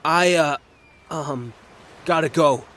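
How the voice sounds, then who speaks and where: A man's voice: fairly high pitch, takes it slowly, fairly bright tone. A man playing an awkward, guarded teenage boy who is hesitant and defensive, in an audio drama. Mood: evasive. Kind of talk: audio drama character acting.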